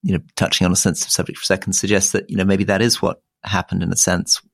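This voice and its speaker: A man's voice: fast, vocal fry, dry recording. A man in his sixties, co-hosting a podcast and talking through political history in a thoughtful, digressive way. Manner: thoughtful, digressive, rises in pitch when animated. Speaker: podcast co-host. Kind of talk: political discussion.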